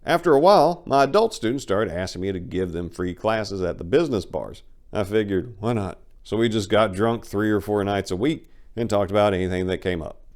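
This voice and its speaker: A man's voice: on the low side, brisk, a little mellow. A man giving a dramatic reading of a first-person memoir in a brash, swaggering storytelling manner. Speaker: narrator of a memoir.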